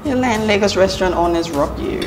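A woman's voice: medium pitch, quick pace. A Nigerian woman in her thirties hosting a cooking show, chatting and teasing outspokenly while she cooks. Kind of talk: casual chat while cooking. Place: large indoor studio kitchen. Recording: roomy kitchen with music behind.

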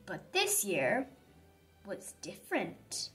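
A woman reading a children's story aloud in an expressive, dramatic manner for young listeners. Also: mid-range voice, slow pace. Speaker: storybook reader. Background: background music.

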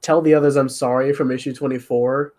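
A man's voice: medium pitch, steady tone, a little fast, a little mellow. A man chatting casually as a composer answering questions on a panel.

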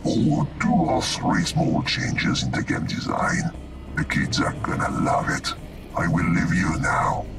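An actor voicing a sinister, gloating glitch villain in a video game, with a rough breathy snarl and menacing delivery. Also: male voice, low voice, wildly swooping pitch, unhurried, distant mic, loud music behind the voice, exaggerated voice.

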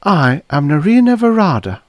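A man's voice: fairly high pitch, sing-song, normal speed, a little mellow, dry recording, pitched above the performer's own voice. Guy reading as a cold defiant lady scientist for an audiobook, voice lifted way up, clipped n suspicious. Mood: insistent. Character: proud, guarded foreign woman scientist, coldly defiant.

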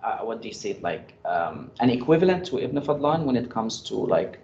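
A man fielding questions after a research talk, pausing often and restarting his sentences. The sound is roomy and a bit dull, as if captured through a lecture hall setup. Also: mid-range voice, big pitch swings, darkish tone, a little hiss or hum. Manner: hesitant, many fillers and restarts. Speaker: graduate student presenter. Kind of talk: answering audience questions. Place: lecture room. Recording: echoey, dull room sound.

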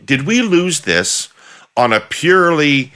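A man's voice: on the high side, big pitch swings, takes it slowly, sharp tone. A middle-aged man hosting a podcast interview, pressing his guest with probing follow-up questions over a video call from a home office. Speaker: podcast host. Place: home office with bookshelves, indoors.

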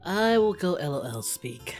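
A man's voice: sits high, very animated, normal speed, faint music behind. A man voicing a parody of an anime martial-arts hero, cocky, playful and flirty, in improvised banter. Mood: easygoing, confident. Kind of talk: improvised in-character gaming banter.

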